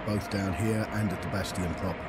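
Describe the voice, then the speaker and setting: A man playing a gruff, wry, battle-weary soldier in a video game. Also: low-pitched, steady tone, fairly quick, heavy background noise, lightly acted. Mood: wry, dutiful. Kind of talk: dramatic video game acting. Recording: loud music over the voice.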